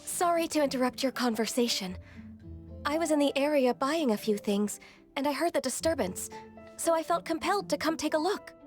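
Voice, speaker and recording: An actress playing a gentle, anxious, deferential young woman in a video game, speaking hesitantly and politely. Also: sits high, animated, fairly quick. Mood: apologetic. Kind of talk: video game character acting. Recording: light music behind.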